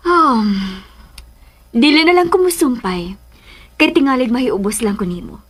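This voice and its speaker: A woman acting a concerned, gently teasing mother in a Cebuano radio drama, questioning in a dry way. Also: high-pitched, sing-song, unhurried, husky, full character voice. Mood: resigned.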